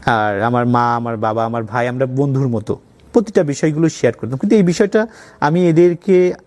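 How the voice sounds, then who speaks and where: A man's voice: mid-range voice, a little fast, darkish tone. A man with a Bangladeshi accent telling his own story conversationally as an interview guest, mixing in English words. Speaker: interview guest, college owner.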